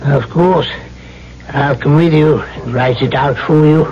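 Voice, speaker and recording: An actor playing a calculating, domineering trader who runs an island, in a radio drama, smooth and commanding. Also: male voice, fairly high pitch, unhurried, lightly acted. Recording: dull old radio recording.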